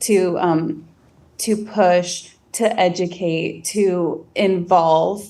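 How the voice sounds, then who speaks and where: A woman's voice: lowish voice, steady tone, very slow, warm tone. A woman in a casual podcast discussion, speaking thoughtfully and hesitantly with many fillers. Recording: a bit distant from the mic.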